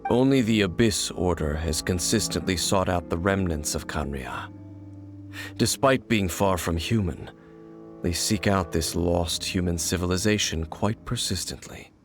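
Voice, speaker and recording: A man voicing a guarded, mysterious wandering swordsman in a video game, speaking in a measured, solemn and terse way. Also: deep voice, over music.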